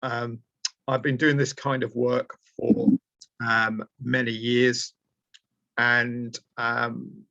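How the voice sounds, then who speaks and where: A middle-aged man with a Lancashire accent hosts an online training webinar, pausing often with ums and uhs as he explains things in a plain, mid-pitched voice. The sound is dry and dull, like lo-fi video call audio from a webcam mic. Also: level tone, gravelly, bright, forceful tone, dry recording. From Preston, Lancashire, England. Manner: hesitant, with frequent ums and uhs. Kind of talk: live online training presentation. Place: indoors, small room.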